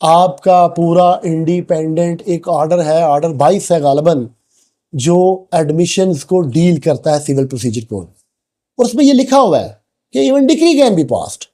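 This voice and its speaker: A man's voice: fairly high pitch, expressive, a little slow, dark tone. A man with a Pakistani accent lecturing didactically, explaining point by point and mixing in English legal terms. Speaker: law lecturer.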